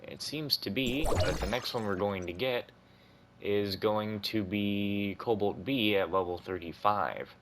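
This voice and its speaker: Low-voiced male gamer, rambling casually. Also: unhurried, very noisy. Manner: informal, rambling. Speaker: gamer narrating a playthrough. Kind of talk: casual gameplay commentary. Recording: loud game music behind voice. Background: game music.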